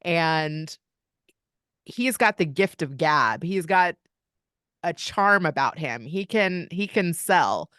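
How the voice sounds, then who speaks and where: A woman's voice: fairly low pitch, animated, takes it slowly, bright, forceful tone, smooth, no room sound, studio quality. A woman from Kentucky, a podcast guest, telling family stories in an open, chatty way. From Kentucky, United States. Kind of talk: casual interview conversation.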